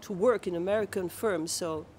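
A woman's voice: lowish voice, a little mellow, a little hiss or hum. A woman with a French accent and French as her first language, chatting casually as a guest on a hotel terrace at night, restarting her sentences often. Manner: halting, restarts mid-sentence. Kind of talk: casual chat. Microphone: clip-on mic.

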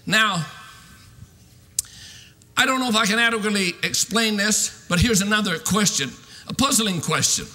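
Male preacher, 60s, very high forceful voice, emphatic sermon delivery, recorded in a reverberant hall. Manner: emphatic, declamatory delivery with drawn-out stressed phrases. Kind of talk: fervent sermon. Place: large church sanctuary, at a pulpit. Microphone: podium microphone.

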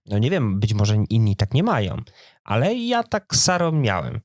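A man's voice: lowish voice, wildly swooping pitch, normal speed, a little mellow, no room sound, studio quality. A man co-hosting a podcast, talking in a casual, informal conversational way. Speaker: podcast co-host.

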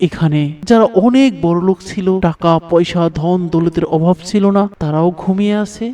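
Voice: medium pitch, moderate pace, dark tone, recorded from a distance, lightly acted. An actor voicing a huge, mysterious angel in human form who speaks solemnly and preachily in a cartoon. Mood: solemn, admonishing. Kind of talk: cartoon voice acting.

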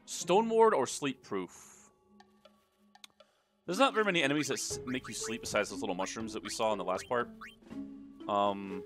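A man's voice: medium pitch, moderate pace, long pauses, fairly bright tone, soft background music. A man hosting a let's play, giving casual, rambling commentary with jokes and swearing.

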